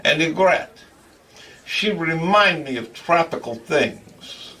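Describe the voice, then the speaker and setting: Casting: jolly crude comic host, broken English, bright forceful voice, big pitch swings, slightly distant mic. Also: male voice, slow pace, full character voice. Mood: gleeful, teasing. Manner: broken grammar, chuckling, animated storytelling. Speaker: comedy show host. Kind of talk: comic monologue in character.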